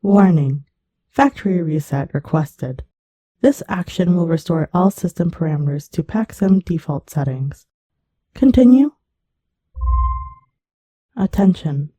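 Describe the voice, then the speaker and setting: A woman voicing an impassive automated maintenance system, flat, formal and procedural, in an audio drama. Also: sits low, poor audio.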